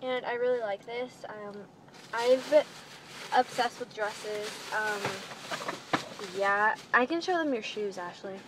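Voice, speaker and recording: High-voiced teenage girl, noisy car recording. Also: takes it slowly. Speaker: vlogger showing a clothing haul. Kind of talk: casual chat to camera. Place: inside a parked minivan. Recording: noisy phone-style recording inside a car.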